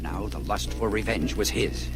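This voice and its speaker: A man's voice: lowish voice, moderate pace, natural voice. Man as solemn dramatic storyteller over music. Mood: grim, suspenseful. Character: solemn storyteller of a gothic tale. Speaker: narrator. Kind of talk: dramatic scripted narration. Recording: vintage vinyl drama, music underneath.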